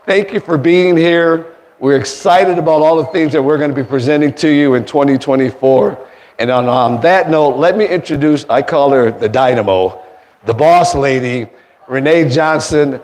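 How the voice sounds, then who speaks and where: Raspy man giving a welcome speech. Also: on the high side, moderate pace, darkish tone. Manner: courteous, thanking people one by one. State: getting over a cold. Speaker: foundation board chairman. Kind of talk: formal welcome speech at an event. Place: indoor museum event space. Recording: long hall reverb.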